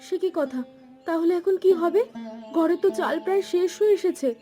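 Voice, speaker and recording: A woman's voice: very high-pitched, fairly quick, warm tone, faint music behind. An actress playing a devoted, anxious middle-aged village wife of a fisherman in an emotional cartoon folk tale. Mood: worried. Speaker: cartoon voice actor.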